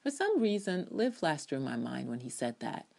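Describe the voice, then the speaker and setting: Female reviewer, low-pitched, reads her essay aloud with theatrical emphasis, sassy and blunt. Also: very animated, normal speed, gravelly, a little mellow. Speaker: reviewer reading own TV drama essay. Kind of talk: dramatic reading of a written review with ad libs.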